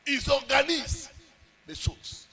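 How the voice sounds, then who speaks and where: A man preaching as a pastor, with emphatic bursts and drawn-out pauses. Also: very high-pitched, crowd noise. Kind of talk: sermon.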